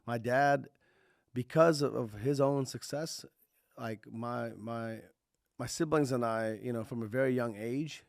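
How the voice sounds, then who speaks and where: A male podcast guest from Kazakhstan, non-native in English, telling his story in loose, halting steps, close and dry as if across a small studio table. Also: medium pitch, gravelly, dry recording. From Kazakhstan, grew up partly in Texas. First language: not English. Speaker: podcast guest, tech entrepreneur. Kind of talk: casual interview chat.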